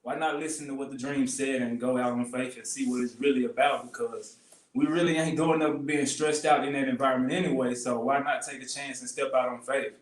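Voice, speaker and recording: A man's voice: medium pitch, fairly even intonation, a little fast, distant mic. A man from Memphis, Tennessee, a guest talking earnestly about his faith in a casual conversation. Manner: earnest, faith-filled talk. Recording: roomy sound from off mic.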